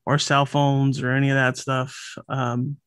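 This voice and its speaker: A man in his late thirties from Los Angeles, a podcast guest talking in a relaxed, thoughtful way with many fillers and restarts. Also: mid-range voice, measured pace, smooth, dry recording, studio quality. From Los Angeles, USA. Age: late 30s. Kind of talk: casual conversational interview. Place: home room, over a video call.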